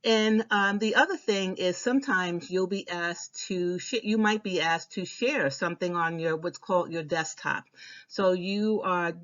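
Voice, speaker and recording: The recording is slightly dull and lo-fi. A woman with a fairly low voice presents practical instruction in an informal, conversational style. She repeats key advice for emphasis. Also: a little slow, fairly bright tone.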